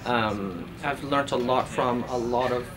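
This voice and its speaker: A man's voice: medium pitch, a little slow, a crowd in the background. Earnest Iranian-born man, thoughtful with fillers. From Iran. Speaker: postdoctoral researcher. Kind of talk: documentary interview. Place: office cubicle in a research lab.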